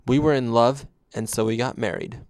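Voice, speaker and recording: A man narrating a scripted first-person story in a deadpan way. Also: mid-range voice, normal speed, no echo, clean studio sound.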